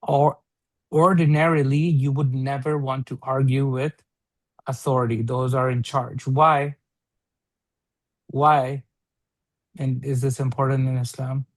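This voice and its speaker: A man's voice: mid-range voice, little pitch movement, measured pace, pauses often, dry recording. A man teaching a one-on-one religious read-along lesson, repeating and rephrasing points and checking with short questions.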